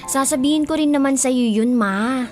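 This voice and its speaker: A woman's voice: sits high, moderate pace, a little mellow, soft background music, close to an everyday voice. A woman playing a stubborn, lovestruck young college student in a Filipino radio drama, girlish and pleading. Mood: earnest.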